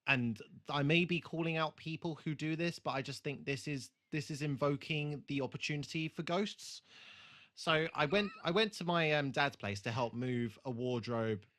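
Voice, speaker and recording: A man's voice: a little high, moderate pace, a bit bright. A man co-hosting a re-watch podcast in casual chat, with a dry, emphatic, sweary way of talking. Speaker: podcast co-host.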